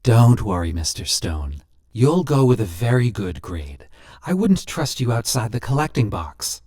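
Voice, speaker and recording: A man voicing a suave, sinister stranger who collects souls, talking smoothly and businesslike, a little pious and simpering. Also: mid-range voice, sing-song, unhurried, a little mellow. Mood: smooth menacing.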